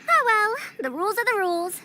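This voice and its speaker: An actress playing a proud, brisk lady scholar in a video game, prim and self-assured with old-fashioned airs. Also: very high-pitched, sing-song, pushed and bright, a little hiss or hum, heavily acted. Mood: gracious, brisk. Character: Madame Faruzan, proud, brisk, girlish-voiced scholar lady with elder airs.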